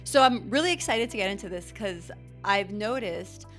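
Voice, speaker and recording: A woman's voice: mid-range voice, lively intonation, moderate pace, fairly bright tone, faint music behind. Chatty woman hosting a podcast interview. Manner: chatty, rambling questions with restarts and fillers. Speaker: podcast host. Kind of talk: conversational interview.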